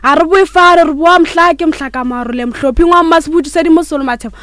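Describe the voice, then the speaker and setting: Female poet, high voice, rapid rhythmic praise-poem delivery. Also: fairly bright tone, no room sound. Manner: rhythmic, declamatory. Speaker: poet, radio guest.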